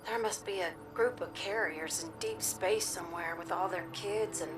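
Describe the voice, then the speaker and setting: A woman's voice: on the low side, normal speed, far from the mic, over loud music, lightly acted. A woman playing a folksy pilot reporting in. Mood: casual. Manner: casual, folksy, plain-spoken. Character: brash young woman pilot on a scouting run. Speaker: game character. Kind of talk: video game radio report.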